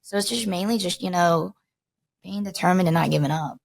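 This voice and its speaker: Soft-spoken woman with a gravelly low voice. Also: a little fast, dry recording. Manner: relaxed, chatty, frequent fillers. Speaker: K9 handler, podcast guest. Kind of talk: casual podcast interview.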